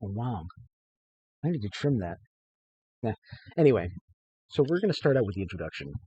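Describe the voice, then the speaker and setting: A man's voice: on the low side, very animated, brisk, mellow, breathy edge, dry recording, lo-fi sound. A man giving an informal author's reading of his book, with casual, hesitant asides.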